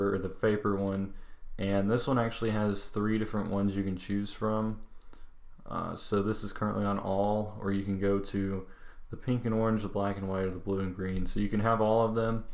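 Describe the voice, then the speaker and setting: A man's voice: on the low side, level tone, moderate pace. A man hosting a casual tech walkthrough, explaining features in an informal way. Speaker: tech video host. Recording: echoey, reverberant room.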